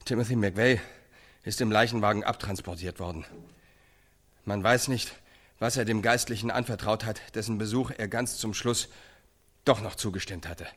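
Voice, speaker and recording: An actor playing a prison inmate who recites hard facts in a declamatory, clipped way in a radio drama. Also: male voice, lowish voice, normal speed, husky. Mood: grave, factual. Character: male prison inmate performing in a documentary stage play.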